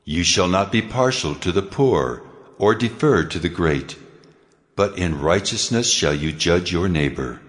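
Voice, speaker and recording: The booming Lord God. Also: male voice, expressive, a bit bright. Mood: solemn. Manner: slow, weighty proclamations. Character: God, the almighty Lord, stern and solemn. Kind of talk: dramatized scripture reading. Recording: long hall reverb over music.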